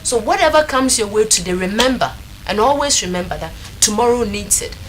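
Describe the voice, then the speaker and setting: A woman's voice: medium pitch, lively intonation, brisk. A Ghanaian-accented woman speaking as a studio panelist in a reflective, motivational way, repeating phrases for emphasis. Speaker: talk show panelist. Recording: faint noise in studio recording.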